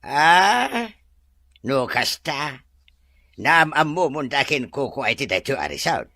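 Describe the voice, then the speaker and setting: A man's voice: mid-range voice, very animated, takes it slowly, sharp tone, no echo, heavily acted. A man with a Filipino Ilocano accent acting a humble, middle-aged driver in an audio drama, stammering and teasing as he defers to his employer. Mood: sheepish, deferential. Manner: stammering, giggly, full of interjections.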